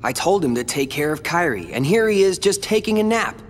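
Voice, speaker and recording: A man's voice: fairly high pitch, sing-song, a little fast, slight background noise. A man playing a brooding, proud teenage boy in an earnest, dramatic video game cutscene. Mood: wry, brooding. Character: brooding, proud teenage boy hero and his tormented replica.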